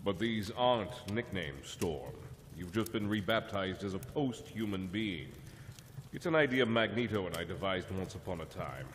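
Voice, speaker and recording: An actor playing a wise, calm telepath mentor who speaks in a measured, lecturing way. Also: male voice, low-pitched, slight background noise. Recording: long reverb over the voice. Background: music score.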